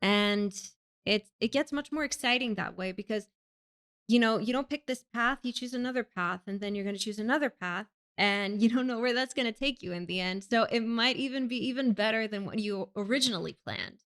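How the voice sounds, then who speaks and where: Woman guest from Greece, smooth clear voice, relaxed chat with frequent ums. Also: fairly high pitch, brisk. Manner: chatty, laughs often, many ums. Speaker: podcast guest. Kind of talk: casual interview chat.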